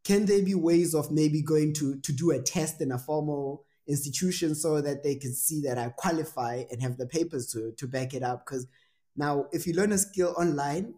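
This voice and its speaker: A man's voice: a little high, moderate pace, dark tone. A young man hosting a podcast interview, thoughtful and rambling, with many fillers and restarts. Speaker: podcast host. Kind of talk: casual interview.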